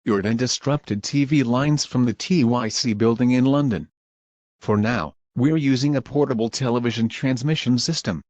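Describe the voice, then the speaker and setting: Male TV announcer for a scripted emergency notice, medium pitch, dull lo-fi sound. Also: moderate pace, darkish tone, a little hiss or hum. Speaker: broadcast announcer.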